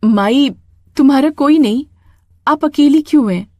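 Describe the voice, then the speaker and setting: A woman voicing a kind, soft-hearted village mother in a storybook tale, with an expressive, pleading way of talking. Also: sits high, measured pace, no room sound, studio quality, voice pushed up. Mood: concerned.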